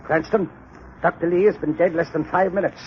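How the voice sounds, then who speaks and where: An actor playing an officious, suspicious sanitarium laboratory chief in formal, clipped 1930s radio mystery style. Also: male voice, a little high, fairly even intonation, a little fast, lightly acted. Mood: accusing, urgent. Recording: dull, noisy 1930s radio recording.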